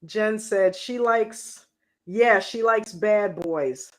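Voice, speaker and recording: A woman casually reviewing a reality show on a livestream, slangy and animated, acting out quotes in a mocking tone. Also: medium pitch, extremely slow. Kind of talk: casual reaction livestream chat.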